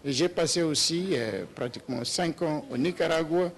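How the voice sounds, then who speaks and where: A man in his sixties from Nigeria, a papal nuncio, answering interview questions slowly with many hesitations and restarts. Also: a little high, slight background noise. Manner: slow and hesitant with many "euh" fillers and restarts. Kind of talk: slow, halting interview answers.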